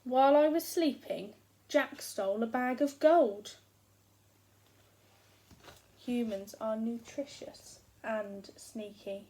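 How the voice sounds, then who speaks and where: A storybook giant played by a woman with a higher-pitched voice and a very slow, deliberate delivery. He is huge, hungry and sorry for himself, grumbling sarcastically and stressing each grievance. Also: dark tone, rough recording. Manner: complaining, sarcastic, emphatic. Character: grumbling, self-pitying, hungry giant husband, huge and aggrieved. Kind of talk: first-person storybook narration read aloud.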